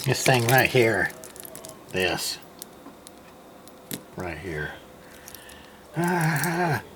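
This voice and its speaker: A man's voice: medium pitch, big pitch swings, extremely slow, background noise. A tired man casually showing off an old radio at his home workshop desk, thinking aloud with many fillers and restarts. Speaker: hobbyist radio repairer. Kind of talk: casual workbench show-and-tell.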